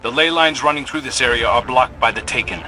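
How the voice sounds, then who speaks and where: A man's voice: mid-range voice, brisk, natural voice, poor audio. A man playing a no-nonsense military operator in a video game, giving clipped, businesslike mission updates. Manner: clipped, businesslike, plain-spoken. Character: no-nonsense male military pilot and tactical operator. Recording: faint music behind the voice.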